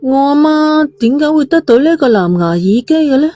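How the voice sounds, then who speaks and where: Woman putting on a rebellious young daughter, raised pitch, blunt exclamations, slangy Hong Kong Cantonese accent, hot temper, audio drama story, soft music underneath. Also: expressive, moderate pace. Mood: agitated questioning.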